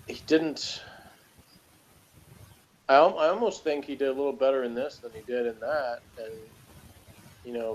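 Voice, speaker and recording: A man's voice: mid-range voice, darkish tone, low-quality recording. A man chatting casually as he reviews a TV episode, giving opinions with wry humour.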